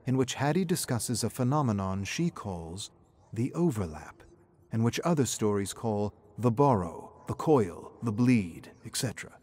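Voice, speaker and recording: A male narrator with a low-pitched voice reads scripted lore. His delivery is dramatic storytelling, in the manner of an audiobook. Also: darkish tone.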